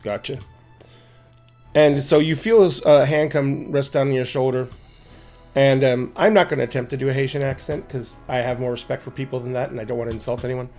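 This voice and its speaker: A man's voice: medium pitch, steady tone, a little fast, darkish tone, soft background music. A man narrating a tabletop game as game master, casual and hesitant with many fillers.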